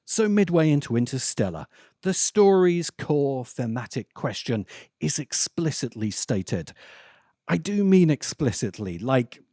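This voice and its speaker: A man hosting a video essay, delivering scripted commentary in a dry, deliberate way with dramatic emphasis. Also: medium pitch, very animated, warm tone, no echo. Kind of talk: scripted narration, film commentary.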